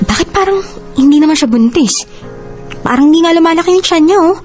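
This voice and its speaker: A woman's voice: very high-pitched, expressive, a little fast, darkish tone. A woman with a Filipino accent playing a protective, forgiving elder sister in a radio drama, earnest and admonishing. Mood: suspicious. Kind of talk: Tagalog radio drama acting. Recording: music bed under voice.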